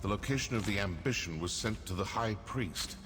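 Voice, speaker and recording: Low-voiced, cynical assassin played by a man. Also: brisk, close to an everyday voice. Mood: grim, resolute. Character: cynical male assassin, proud and businesslike. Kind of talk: scripted video game character dialogue. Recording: long reverb, clear background noise. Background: game ambience.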